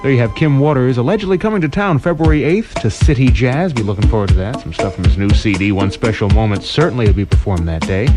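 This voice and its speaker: A man with an American accent working as a radio DJ, presenting smooth jazz and short information updates as morning host. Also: medium pitch, expressive, quick pace, few pauses, loud music behind the voice.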